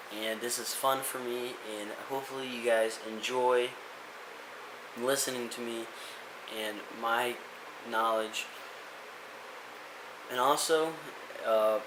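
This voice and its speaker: A young man giving a casual, rambling motivational rant as a vlogger, earnest and full of restarts. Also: medium pitch. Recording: echoey room with hiss.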